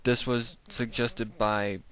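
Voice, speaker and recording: A man's voice: mid-range voice, little pitch movement, slow, fairly bright tone. A man hosting a reaction video with casual, off-the-cuff commentary.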